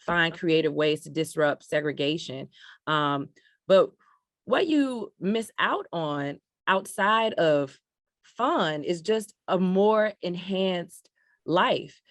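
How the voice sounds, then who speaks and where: Low-voiced woman on a dry call. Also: no room sound. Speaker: panelist. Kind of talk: panel discussion on a video call. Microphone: video call.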